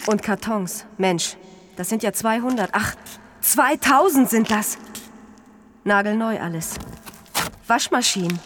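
A woman's voice: mid-range voice, a little slow, long pauses, fairly bright tone, lightly acted. An actress playing a brash, streetwise homeless woman, colloquial and urging, in a German radio crime drama. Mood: excited, coaxing. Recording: noticeable background noise.

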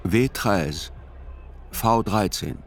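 An actor playing a reflective male writer who reports on a trial in a measured, sober radio drama narration. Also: on the low side, animated, vocal fry, soft background music, heavily acted.

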